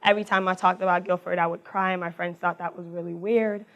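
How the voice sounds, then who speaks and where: A young woman with a raspy voice, giving a personal talk on a mic to a live audience, chatty and quick. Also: on the low side, flat delivery, muffled recording. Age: young adult. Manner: talks fast, warm and chatty, laughs between thoughts. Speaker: alumna speaker. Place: event hall with audience. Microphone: handheld or stage mic.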